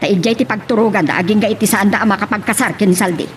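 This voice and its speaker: A woman's voice: mid-range voice, fast, recorded from a distance, a little hiss or hum, close to an everyday voice. A woman with an Ilocano accent playing a stern, bossy lady of the house who scolds and commands in a melodrama. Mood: bossy, irritated.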